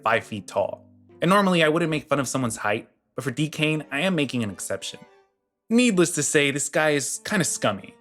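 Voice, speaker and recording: A man's voice: medium pitch, fairly bright tone. A man reading a scripted commentary voice-over, blunt and sarcastic. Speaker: commentary channel host.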